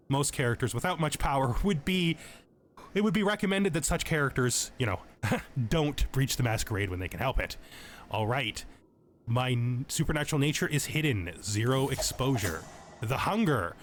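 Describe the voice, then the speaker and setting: A man's voice: medium pitch, fairly bright tone, a little hiss or hum. A man giving a tutorial-style gaming commentary, moving between reading out game text and explaining it informally. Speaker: host of a gaming channel.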